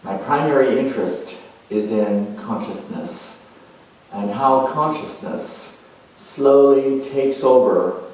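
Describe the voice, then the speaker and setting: A man speaking as an astrologer and teacher, giving a measured, deliberate explanatory introduction with pauses. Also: lowish voice, dark tone. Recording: distant mic in echoey room.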